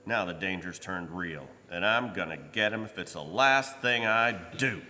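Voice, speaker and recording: Commissioner for radio play male actor: low, forceful, gruff, sarcastic, hard-nosed; live hall reverb. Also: expressive, moderate pace, heavily acted. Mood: stern. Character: sour, sleepless, hard-nosed police commissioner. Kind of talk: old-time radio drama acting. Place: live performance in a church.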